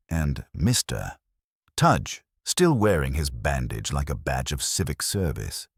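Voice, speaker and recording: A man narrating a scripted mystery story in a measured, formal storytelling manner. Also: rumbling low, no echo, studio quality.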